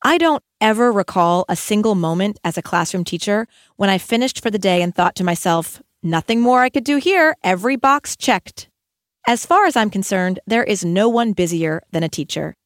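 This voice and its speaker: Woman, middle-aged, earnest articulate audiobook narration, medium pitch, dry close sound. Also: expressive, moderate pace, no echo. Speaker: educator and author reading own audiobook.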